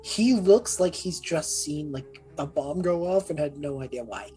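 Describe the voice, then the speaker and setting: A woman with a low voice and a very husky, rough edge. She narrates as a player in a tabletop role-playing game, casual and animated, describing what the character does. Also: measured pace, soft background music, poor audio. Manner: animated, describes own character's reactions in third person.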